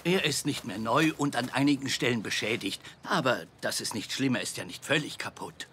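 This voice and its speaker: A man voicing a kindly, patient old grandpa zebra in a German-dubbed children's cartoon, gentle and reassuring. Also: medium pitch, fairly quick, a little hiss or hum, lightly acted. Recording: loud music behind.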